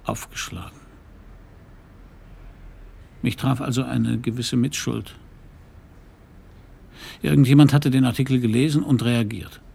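An actor playing a cynical private detective in a crime radio play, laconic and sardonic with a gravelly voice. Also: male voice, mid-range voice, measured pace, long pauses, warm tone, exaggerated voice. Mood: sardonic, brooding. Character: cynical, hard-boiled male private detective narrating his case. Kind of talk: first-person crime radio play narration and dialogue.

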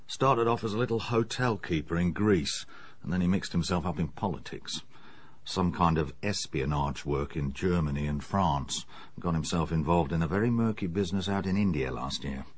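An actor playing a bluff, chummy middle-aged English police inspector in a radio drama, matter-of-fact and worldly. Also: male voice, low-pitched, moderate pace, breathy edge, no echo. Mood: chummy, knowing.

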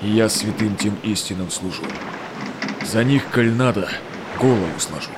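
Actor as low-voiced devout Cossack explorer. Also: male voice, normal speed, background noise, close to an everyday voice. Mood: fervent. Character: steadfast, devout Russian Cossack explorer, grown man. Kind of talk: rhymed verse audio-play acting.

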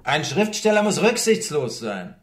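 A man's voice: fairly high pitch, very animated, unhurried. An actor playing a ruined, self-important writer in his forties, brooding and evasive, in a radio drama. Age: 40s. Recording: roomy echo, background noise.

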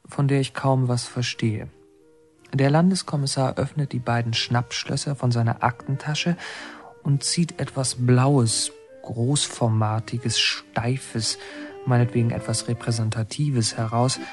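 Radio play narrator, male actor as policeman's watchful son, plain measured storytelling, mid-pitched. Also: fairly even intonation, soft background music, close to an everyday voice. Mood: observant, measured. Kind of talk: scripted radio drama narration, present tense.

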